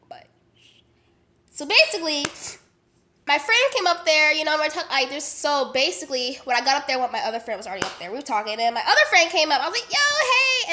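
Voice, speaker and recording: A young woman with a high voice tells a story in a casual vlog. Her voice is bright, with a stuffy, nasal sound from a cold. She talks in a chatty, animated way, with plenty of slang and fillers. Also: slight background noise. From Las Vegas. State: sick, congested and nasal. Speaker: vlogger.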